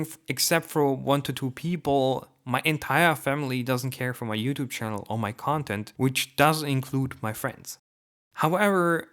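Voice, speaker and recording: A man's voice: mid-range voice, a bit bright. Man reading an argumentative essay. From Germany. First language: Turkish. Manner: measured, argumentative, reads from a script. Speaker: YouTuber. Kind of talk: scripted essay monologue.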